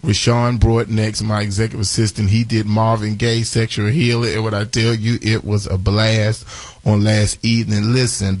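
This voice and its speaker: A man in his forties with a raspy voice, hosting a live morning radio show and chatting through announcements with lots of fillers and restarts. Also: lowish voice, a little mellow, no echo. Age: 40s. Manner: chatty, repeats phone numbers, many fillers and restarts.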